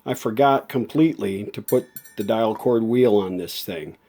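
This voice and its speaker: A man giving a casual, hands-on workshop explanation as a hobbyist restorer, haltingly thinking aloud as he works. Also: lowish voice, dark tone. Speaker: hobbyist radio restorer and video maker. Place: indoor home workshop at a workbench.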